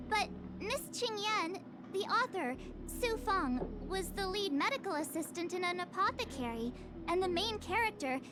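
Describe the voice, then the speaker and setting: A woman voicing a perky, boastful, bubbly young girl adventurer in a video game. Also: very high voice. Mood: curious, friendly. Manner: bubbly, exclamatory, eager.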